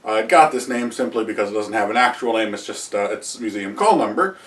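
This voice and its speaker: A man in his thirties giving an unscripted history lecture to camera at home, pausing often with "uh" and restarting phrases. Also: lowish voice, expressive, a bit bright, recorded from a distance. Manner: halting, many "uh" fillers and restarts, gestures with both hands. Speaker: history video host. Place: small room at home with wall hangings and shields. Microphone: camera a few feet away.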